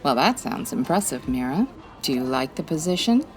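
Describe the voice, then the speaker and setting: A woman's voice: a bass voice, very animated, normal speed, darkish tone, soft background music, exaggerated voice. A woman playing a classy, wry female private eye in a 1940s radio detective style, breezy and full of quips. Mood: friendly, teasing.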